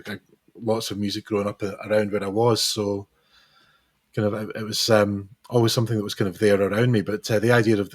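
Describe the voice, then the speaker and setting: Hoarse low-voiced man. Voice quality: hesitant, lots of fillers and restarts. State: hoarse, recovering lost voice. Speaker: musician guest. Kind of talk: casual interview chat.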